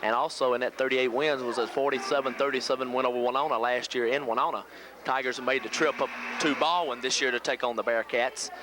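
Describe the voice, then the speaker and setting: A man's voice: mid-range voice, fairly even intonation, fast talker. A man from the United States giving pregame sports commentary as a local radio play-by-play announcer. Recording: noisy old VHS local radio audio.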